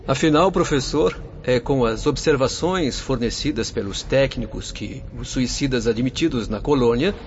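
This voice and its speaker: A man's voice: medium pitch, moderate pace, hardly any pauses, a little hiss or hum, lightly acted. Man acting a grave spirit doctor, dull recording. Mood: calm, explanatory. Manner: formal, explanatory. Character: authoritative, grave male spirit doctor, explaining patiently. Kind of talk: scripted radio drama acting. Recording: dull lo-fi audio with music.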